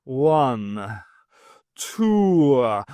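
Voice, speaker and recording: A man's voice: mid-range voice, sing-song, extremely slow, warm tone, clean tone, dry recording. A man voicing a proud, brave talking cat in an audiobook, bold and declamatory like a theatrical swashbuckler. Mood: purring.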